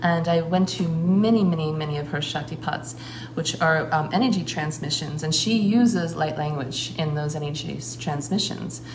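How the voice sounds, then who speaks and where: A woman with a low voice speaks earnestly and at length as a shamanic priestess. Her words flow and are broken by frequent filler pauses. The recording is roomy, with steady noise clearly audible behind her. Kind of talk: casual spoken account.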